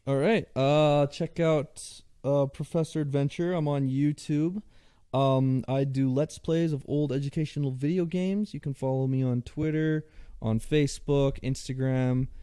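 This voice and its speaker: Mellow-voiced man in casual chat. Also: mid-range voice, fairly even intonation, unhurried, clean tone. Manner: hesitant, lots of fillers and restarts. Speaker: guest, YouTube creator.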